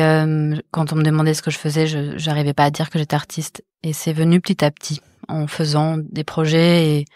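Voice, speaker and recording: A woman in her thirties, a visual artist born in the Netherlands and raised in France, answering interview questions in a soft, reflective, halting way. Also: sits low, no echo, studio quality. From born in the Netherlands, raised in Marseille. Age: 30s. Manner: many fillers and restarts, soft and reflective. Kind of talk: hesitant interview talk.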